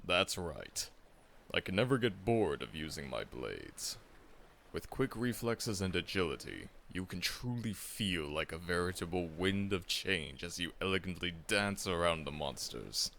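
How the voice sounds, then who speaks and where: A man's voice: low voice, big pitch swings, measured pace, gravelly, fairly bright tone, a little hiss or hum, heavily acted. A man voicing a seasoned, friendly veteran monster hunter in an audio roleplay, chuckling often and teasing the listener.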